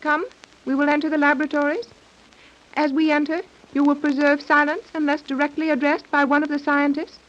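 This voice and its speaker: A woman's voice: sits high, little pitch movement, a little fast, close to an everyday voice. A woman playing a stern young submarine commander in a 1930s radio serial, formal, imperious and humorless. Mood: brisk, authoritative. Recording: dull lo-fi 1930s radio recording.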